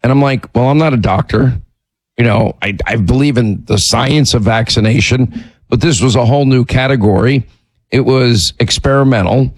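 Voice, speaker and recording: Hoarse raspy male radio host. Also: mid-range voice, moderate pace, no room sound. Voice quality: raspy, clearing his throat. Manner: indignant, rambling. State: hoarse with laryngitis. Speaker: radio talk show host. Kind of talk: casual talk radio chat. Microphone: studio microphone.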